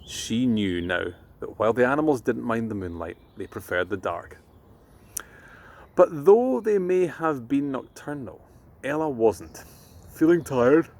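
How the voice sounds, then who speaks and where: A Scottish man tells a bedtime story to children. His voice sits fairly low with plenty of gravelly creak, and his delivery is expressive, dropping into a hush at times. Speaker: presenter reading a bedtime story. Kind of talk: children's bedtime storytelling and friendly address to viewers.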